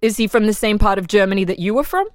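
A woman's voice: mid-range voice, fast talker, no echo, studio quality, lightly acted. A woman reading the part of an insistent official who questions a murder prisoner methodically in a dramatic reading. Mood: methodical, pressing. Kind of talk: dramatic reading of an interrogation transcript.

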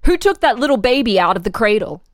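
An insistent official interrogator, played by a woman, with a fairly high voice recorded dry and close. The questions come formal and leading, in the manner of a dramatized court record. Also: very animated, quick pace, no room sound. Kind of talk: dramatic reading of an interrogation transcript.

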